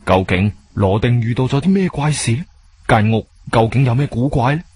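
Animated Cantonese male storyteller. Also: medium pitch, moderate pace, slightly rough, no echo. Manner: colloquial, suspenseful, with interjections. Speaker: radio drama narrator. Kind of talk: dramatic storytelling narration.

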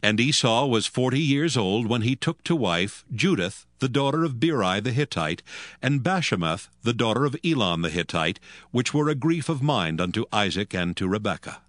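A man narrating scripture as a scripted reading, in measured, formal storytelling. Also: medium pitch, lively intonation, a bit bright, dry recording, clean studio sound.

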